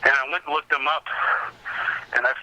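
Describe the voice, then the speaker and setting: A man telling anecdotes as a casual interview guest, halting and rambling as he recalls details. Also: medium pitch, steady tone, bright, forceful tone, low-quality recording. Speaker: podcast guest, comics researcher.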